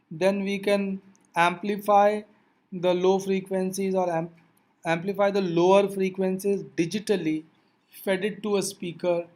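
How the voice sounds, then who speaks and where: Give the voice of a physics teacher with a medium pitch. The delivery is an explanatory lecture, didactic and aimed straight at learners, with reminders along the way. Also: a little mellow.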